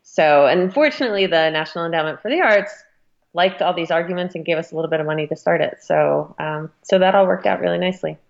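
A woman being interviewed as an editor, answering conversationally in a thoughtful, halting, hedging way. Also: lowish voice, vocal fry, highs cut off. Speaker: magazine editor, interview guest.

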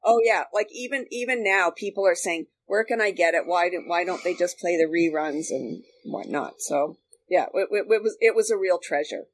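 A woman's voice: on the low side, normal speed. A woman reminiscing casually as a guest in an informal conversation. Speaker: podcast guest, former radio producer.

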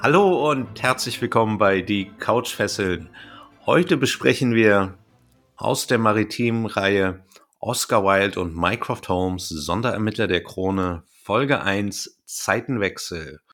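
A man's voice: on the low side, takes it slowly, a bit bright, soft background music. A man hosting a podcast in an easygoing, informal, chatty way. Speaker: podcast host. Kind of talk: casual chat.

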